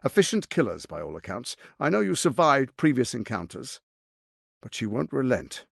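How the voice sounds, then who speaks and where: An actor playing a scheming, menacing fantasy tyrant in a video game, silky, grandiose and condescending, speaking with theatrical deliberation. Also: male voice, medium pitch, sing-song, normal speed, vocal fry, breathy edge, dry recording, clean studio sound, exaggerated voice. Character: scheming, smooth, menacing male tyrant lord and political mastermind.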